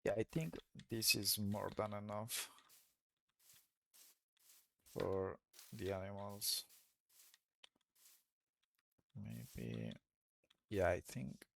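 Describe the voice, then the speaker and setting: A man from Colombia with a Colombian Spanish accent, Spanish as his first language, giving relaxed gameplay commentary while hesitating and searching for words. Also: sits low, creaky, a little hiss or hum, low-quality recording. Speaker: let's play creator.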